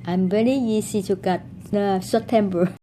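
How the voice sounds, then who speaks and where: A woman in her forties from Vietnam who works for the county, speaking haltingly in simple phrases. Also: medium pitch, warm tone. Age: 40s. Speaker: county worker. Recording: clear background noise.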